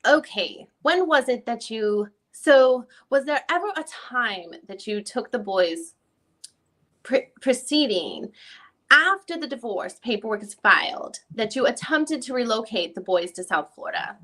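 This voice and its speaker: A high-pitched female lawyer, voiced by a woman works through a deposition reading. She puts probing questions in a formal, somewhat halting way. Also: expressive, fairly bright tone. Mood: questioning. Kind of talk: dramatic reading of a deposition transcript.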